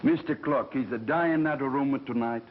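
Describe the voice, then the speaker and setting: A man's voice: medium pitch, normal speed, close to an everyday voice. Guy playing chatty italian working man, broken english, 1930s movie, dull hissy old soundtrack. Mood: earnest. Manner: broken English, eager and simple. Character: humble, chatty immigrant radio-building worker Tony. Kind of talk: 1930s mystery film acting.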